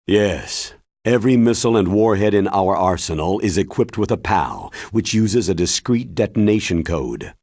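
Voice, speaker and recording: A man voicing a grave, authoritative military commander in a video game, explaining in a measured, solemn way with a husky voice. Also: lowish voice, animated, a little mellow, dry recording, clean studio sound.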